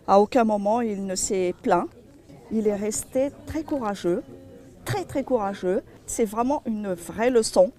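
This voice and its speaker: Woman, from Madagascar, mid-pitched voice, heartfelt, informal testimonial, repeats words for emphasis. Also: unhurried, mellow. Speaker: charity association member.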